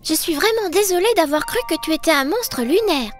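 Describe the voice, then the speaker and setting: Really high little girl cartoon voice, curious and excitable, swoops around, music behind. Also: brisk. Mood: curious, earnest. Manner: expressive, exclaiming. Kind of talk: animated children's cartoon dialogue.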